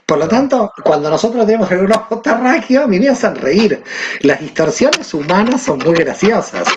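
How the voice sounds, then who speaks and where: A man's voice: sits high, very animated, normal speed, a little hiss or hum. A man from Argentina, a guest who teaches, explaining ideas in conversation and asking rhetorical questions. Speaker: guest, Kabbalah teacher and author.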